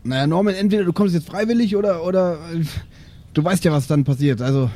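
A weary dad acted by a man in an improvised audio play. The voice is soft-toned and fairly high for a man, placating in a halting, mildly exasperated way. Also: natural voice.

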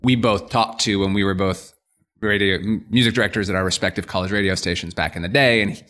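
Deep-voiced American man. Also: steady tone, fast, sharp tone. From New York suburbs, United States. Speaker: company co-founder, interview guest. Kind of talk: conversational explanation on a podcast.

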